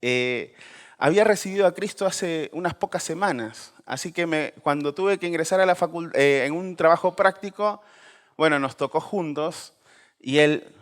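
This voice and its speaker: A man's voice: a little high, normal speed. A man in his forties from Peru, giving a conversational personal testimony full of fillers and restarts. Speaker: speaker.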